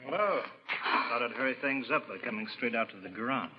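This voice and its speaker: Sly husband actor on muffled old radio. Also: male voice, medium pitch, lively intonation, brisk, close to an everyday voice. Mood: slyly needling. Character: sly, vengeful betrayed husband in a radio thriller. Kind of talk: 1940s radio acting. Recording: muffled vintage radio, loud music behind.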